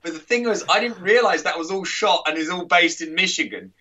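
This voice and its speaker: Brief: English man in his thirties, podcast guest, bright sharp tone, a little far from the mic on a dull line. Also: sits high, lively intonation, a little fast. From England. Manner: chatty, laughing, rambling with restarts. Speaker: podcast guest, author and coach. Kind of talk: casual interview chat.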